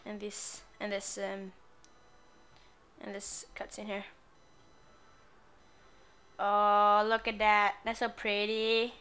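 A woman's voice: medium pitch, monotone, takes it slowly, long pauses, pushed and bright, smooth. A woman gamer giving casual commentary on a playthrough in a crude, sweary, playful way.

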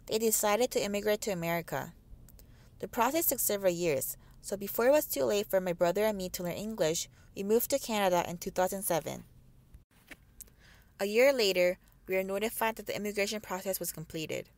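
A woman's voice: mid-range voice, fairly quick, a little mellow. A woman in her twenties, born in South Korea, reading a scripted narration of her family's immigration story. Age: 20s. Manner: reads a prepared script steadily.

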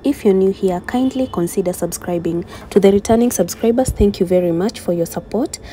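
A woman's voice: mid-range voice, fairly quick, mellow, slight background noise. A young woman vlogging to her phone camera, upbeat and chatty, speaking straight to her viewers from a car and a restaurant. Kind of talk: casual vlog talk to camera.

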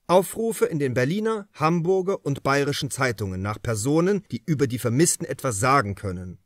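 A man's voice: fairly high pitch, dry recording, studio quality. A man voicing a confident, methodical police detective who lectures on his deductions in an audiobook. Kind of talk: audiobook character dialogue.

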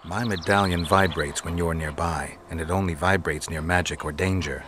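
A stoic witcher in a fantasy game. His voice is very low and his lines are blunt, terse and deadpan. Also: normal speed, slight background noise. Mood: dry, probing. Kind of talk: dramatic video game acting.

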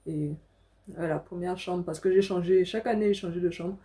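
A woman's voice: on the low side, fairly quick, mellow. A young adult woman from Africa telling a personal story casually to camera as a vlogger, indoors in a small room.

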